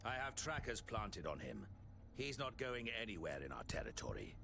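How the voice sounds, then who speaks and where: A man's voice: fairly low pitch, wildly swooping pitch, moderate pace, gravelly, exaggerated voice. A man playing a cold, scheming puppet-maker villain in a video game, calculating and commanding. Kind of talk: video game character acting.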